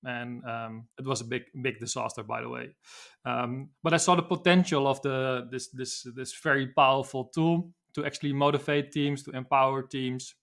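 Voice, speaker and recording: A man in his forties from the Netherlands with a Dutch accent, answering as a podcast guest in a relaxed, hesitant way with many fillers and restarts. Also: mid-range voice, moderate pace, vocal fry. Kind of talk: casual interview answers.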